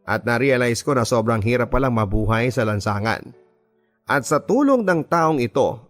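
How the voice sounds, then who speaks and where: Filipino man narrating a dramatic story over music. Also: mid-range voice. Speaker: radio drama narrator reading a listener's letter. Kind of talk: scripted first-person story narration. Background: music bed.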